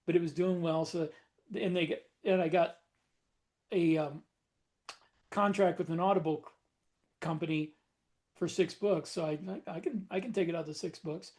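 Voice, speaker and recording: A man co-hosting a podcast chats in a relaxed way and often breaks into small laughs. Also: on the high side, moderate pace, pauses often. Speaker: podcast co-host, author. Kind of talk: casual panel chat.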